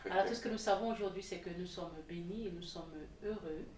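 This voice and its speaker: A woman with a lowish voice hosts a TV interview in a lively way and laughs easily. She sounds far from the mic in a roomy studio, with faint noise behind her. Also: fast. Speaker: TV host. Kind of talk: casual interview hosting. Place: TV studio set.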